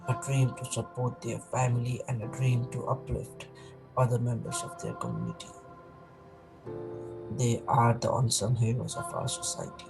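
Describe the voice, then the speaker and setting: A man with a Nepali accent hosting a podcast, reading a measured, earnest introduction and then asking interview questions. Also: mid-range voice, animated, vocal fry, music in the background, low-quality recording. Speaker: podcast host.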